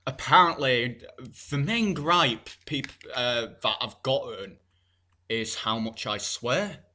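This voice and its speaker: A man's voice: medium pitch, takes it slowly, sharp tone, slight background noise. A teenage boy, co-hosting a podcast in casual chat, blunt and rambling with plenty of swearing. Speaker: podcast co-host.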